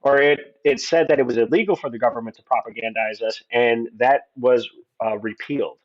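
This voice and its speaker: A man's voice: medium pitch, a little fast, muffled recording. A man in his forties, a guest on a livestream, talking earnestly and at length with many restarts. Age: 40s. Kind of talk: opinionated conversation.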